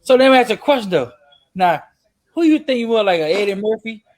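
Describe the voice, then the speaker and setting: A male host chats casually in a high-pitched voice. His intonation swings widely as he talks, with the animated manner of someone telling a story to friends. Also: quick pace. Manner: animated storyteller, acting out quotes. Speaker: podcast host. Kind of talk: casual chat.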